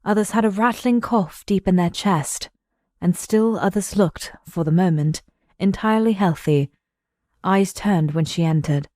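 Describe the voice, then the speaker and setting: A woman delivers scripted drama narration. Her voice is medium-pitched, soft-edged and mellow, recorded close and very dry. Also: a little slow, no echo.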